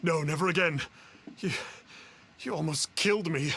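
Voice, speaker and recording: A man's voice: fairly high pitch, moderate pace, creaky, sharp tone, slight background noise. A man playing a pompous, cowardly conman in a video game, with theatrical, old-fashioned phrasing. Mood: panicked, pleading. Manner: pompous, theatrical, archaic speech. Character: pompous, cowardly male conman posing as an immortal, grovelling. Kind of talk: video game character dialogue. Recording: game music behind the voice.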